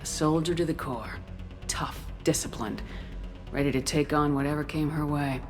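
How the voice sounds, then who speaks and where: A woman playing a tough, composed military commander, narrating seriously and in measured phrases for a video game. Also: deep voice, fairly even intonation, close to an everyday voice. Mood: reflective. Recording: music behind.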